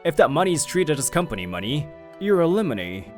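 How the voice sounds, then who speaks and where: A man narrating as a young company president, telling the story in a lively way. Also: on the high side, fairly quick, faint music behind. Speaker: narrator and lead figure. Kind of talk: manga video narration.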